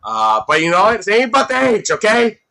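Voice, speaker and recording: A man in his thirties chatting as a guest on a remote call, animated, laughing often and swearing casually. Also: high-pitched, fast talker, creaky. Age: 30s. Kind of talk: casual chat.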